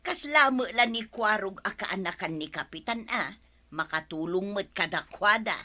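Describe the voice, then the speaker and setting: A woman playing an excitable, exclamatory middle-aged village auntie in a comedic radio drama, with an Ilocano accent. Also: mid-range voice, normal speed. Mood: amused, curious. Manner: exclamatory, animated.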